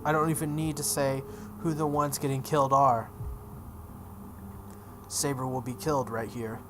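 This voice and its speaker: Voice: mid-range voice, flat delivery, normal speed, over music. A let's play host reading visual novel text aloud dramatically, breaking off into casual reactions.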